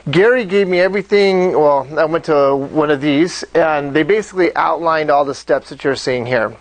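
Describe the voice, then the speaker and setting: A man who works as a high school principal, sharing his story with a meetup in a loud voice with many pauses. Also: no echo, rough recording.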